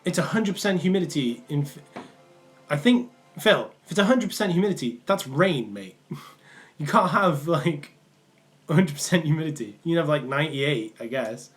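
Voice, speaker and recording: A man's voice: a little high, animated, moderate pace, soft background music. Rambling young Mancunian man streaming. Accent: Mancunian, partly worn off. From England, Manchester area. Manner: rambling, many ums and restarts. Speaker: livestream host answering chat. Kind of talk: casual live stream chat. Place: small room indoors.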